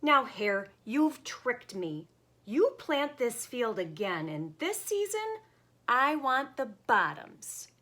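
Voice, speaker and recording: A woman voicing a lazy, grumbling, easily duped male bear in a children's storybook, with drawn-out, slow-witted delivery. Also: a little high, very animated, fairly bright tone, pitched above the performer's own voice, heavily acted. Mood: indignant, grumbling.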